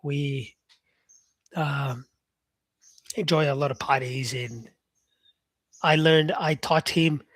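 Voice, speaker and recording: A man's voice: fairly high pitch, steady tone, slow, long pauses, fairly bright tone, dry recording. A man teaching English on a live stream, chatting casually with viewers and reading out their comments, with many fillers.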